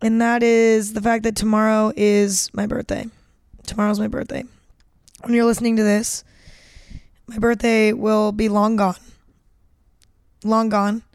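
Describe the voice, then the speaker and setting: A woman of nineteen hosting a casual solo podcast, chatty and rambling, a little hoarse with cracking voice. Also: mid-range voice, little pitch movement, measured pace, pauses often, a little mellow, no room sound, studio quality. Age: late teens. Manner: chatty, rambling, self-deprecating. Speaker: podcast host.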